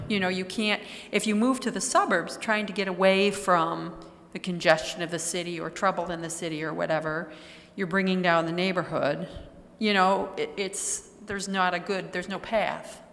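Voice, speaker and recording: A woman's voice: on the low side, steady tone, normal speed, a bit bright. An older woman talking conversationally in a group discussion, thinking aloud as she goes. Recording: echoey hall with long reverb.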